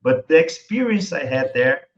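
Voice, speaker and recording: A man's voice: fairly high pitch, big pitch swings. A man from Brazil with a Brazilian Portuguese accent, a native Portuguese speaker, talking casually as an interview guest and searching for words in English. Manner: halting, searching for words, often chuckling. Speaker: interview guest, wine YouTube creator. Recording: muffled, echoey room sound.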